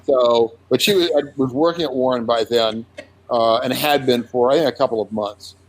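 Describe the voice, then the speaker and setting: An older man in his seventies, a comic artist recalling his early career in a relaxed interview, unhurried with frequent restarts. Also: mid-range voice, rough recording. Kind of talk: casual conversational interview.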